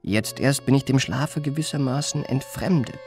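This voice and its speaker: An actor playing a weary forty-year-old confidence man, narrating his memoirs in a measured, wry, mannered way for a radio play. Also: mid-range voice, over music, close to an everyday voice. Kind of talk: literary first-person narration in radio play.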